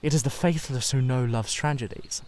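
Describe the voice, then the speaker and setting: A man's voice: medium pitch, moderate pace, a little mellow, lightly acted. A man voicing a witty, cynical, self-satisfied Victorian aristocrat in a dramatised reading of a classic novel, with a theatrical manner. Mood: smug.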